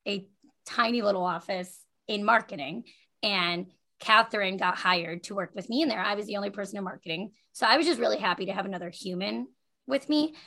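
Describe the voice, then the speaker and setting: A woman's voice: medium pitch, a little fast, gravelly, sharp tone. A woman hosting a podcast in a casual, chatty way with a friend, effusive and giggly in manner. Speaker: podcast host.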